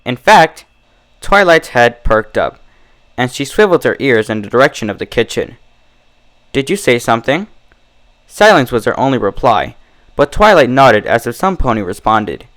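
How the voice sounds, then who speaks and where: A man's voice: medium pitch, very animated, moderate pace, a bit bright. A man reading a story aloud as scripted, dramatic narration. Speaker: fan fiction narrator.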